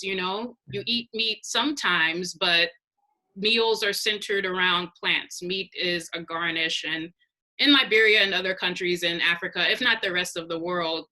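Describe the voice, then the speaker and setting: Bright-voiced earnest woman speaker. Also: on the low side, rough recording. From United States. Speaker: guest speaker, health practitioner. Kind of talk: online panel talk.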